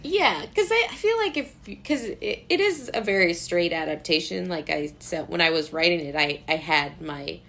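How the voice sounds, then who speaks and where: Low-pitched American woman chatting casually. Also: lively intonation, moderate pace, creaky, hardly any pauses, fairly bright tone. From Brooklyn, United States. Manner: informal, rambling, self-deprecating, amused. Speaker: interview guest, playwright. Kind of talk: casual interview chat.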